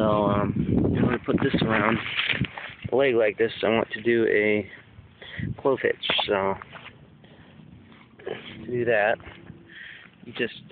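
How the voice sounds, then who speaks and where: A man giving a casual, unscripted demonstration, thinking aloud in a hesitant, halting way, recorded outdoors on a handheld camera. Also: medium pitch, narrow, tinny audio. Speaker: survival hobbyist demonstrating knots. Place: outdoors under trees at picnic table. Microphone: handheld camera held by the speaker. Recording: noisy outdoor handheld camera, clicks and rustle.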